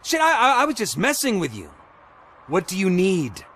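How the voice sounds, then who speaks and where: An actor playing a mouthy young street basketball player in a video game, cocky and taunting in manner. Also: male voice, high voice, wildly swooping pitch, moderate pace, a bit bright, a little hiss or hum, exaggerated voice. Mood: flustered.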